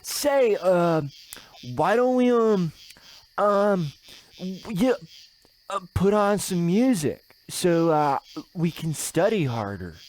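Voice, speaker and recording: Man playing a slow-talking, awkward teenage boy. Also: high voice, lively intonation, a little mellow, poor audio. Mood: nervous. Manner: hesitant, gee-whiz, halting. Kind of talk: old-time radio comedy play acting.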